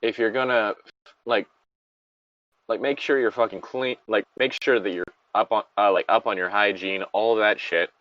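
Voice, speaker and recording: A man's voice: on the low side, steady tone, moderate pace, fairly bright tone, dull audio. A man giving casual, rambling and blunt commentary on a story, with frequent swearing. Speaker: podcast host reading and commenting on a story.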